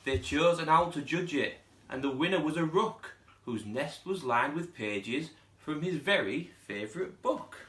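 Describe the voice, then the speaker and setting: A man's voice: on the high side, a little slow, reverberant. A man, a primary school teacher reading a children's storybook aloud expressively. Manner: expressive, putting on character voices.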